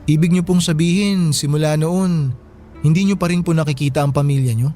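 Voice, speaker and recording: A man playing a polite, kindly Filipino working man in a radio drama, gentle and respectful as he speaks to an elder. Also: on the high side, steady tone, a little fast, close to an everyday voice. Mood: gently curious. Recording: music behind the voice.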